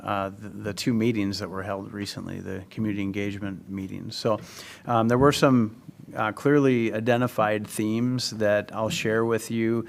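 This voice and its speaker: Male presenter, low pitch, hesitant with fillers. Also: background noise. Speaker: community group member. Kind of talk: presenting survey findings. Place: public meeting room.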